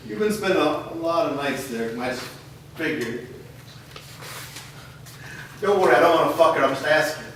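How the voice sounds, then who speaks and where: A man's voice: on the high side, expressive, moderate pace, long pauses, fairly bright tone. A man playing a menacing, controlling father at a staged play reading, threatening and cold. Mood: leering. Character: menacing abusive middle-aged stepfather Kevin. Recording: echoey room from far off, noisy.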